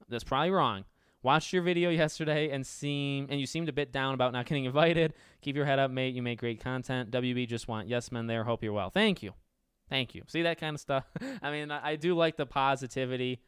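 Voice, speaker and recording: Raspy-voiced man chatting on a livestream. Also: medium pitch, steady tone, fairly quick, a bit bright, dry recording, clean studio sound. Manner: rambling, self-correcting, answers chat questions aloud. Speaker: livestream host reading viewer questions. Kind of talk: casual livestream Q&A chat.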